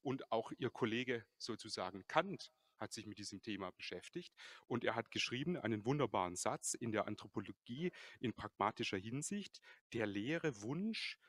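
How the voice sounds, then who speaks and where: A man's voice: fairly low pitch, animated, a bit bright, no echo. Formal man moderating a panel. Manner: measured, formal. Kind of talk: moderating a panel, reading quotes. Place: large café hall, indoors. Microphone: handheld mic.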